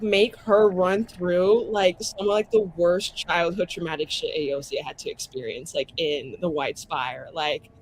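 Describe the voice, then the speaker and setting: Female tabletop roleplayer, mid pitch, casual and theatrical storytelling, slight background noise. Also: rough recording. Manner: dramatic, profane, colloquial with many "like"s.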